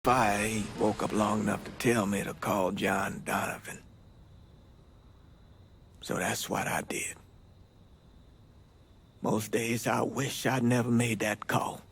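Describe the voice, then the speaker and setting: A low, gravelly man weighed down by regret. He broods in a slow, flat murmur, with faint ambience behind. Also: slight background noise, heavily acted. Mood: regretful. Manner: subdued, brooding. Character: somber, regretful man recalling a fateful decision. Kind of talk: video game cutscene monologue.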